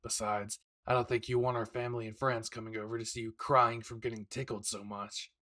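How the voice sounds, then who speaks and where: A man playing a brash but devoted young husband and excited father-to-be in an intimate, teasing, tender audio roleplay. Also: medium pitch, fairly quick, lightly acted. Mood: playful, teasing.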